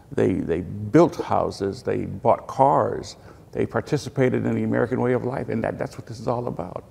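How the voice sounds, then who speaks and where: A man's voice: mid-range voice, creaky, darkish tone. An older man giving emphatic, earnest interview answers about his museum's legacy. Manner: earnest, emphatic, builds to punchy lines. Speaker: museum representative. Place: indoor hall with displays. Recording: hall reverb.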